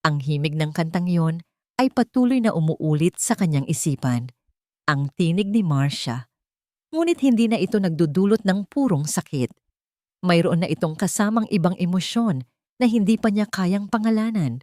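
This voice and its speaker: A Filipino woman tells a scripted dramatic story as narrator. Her pitch sits a little low for a woman. The recording is very dry and close, with no room sound. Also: expressive, normal speed, a little mellow, dry recording.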